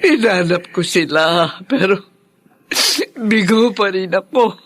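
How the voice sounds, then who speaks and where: An actress playing a grieving, devoted wife in a Filipino radio drama, full of sorrow. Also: female voice, medium pitch, slow pace. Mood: sorrowful.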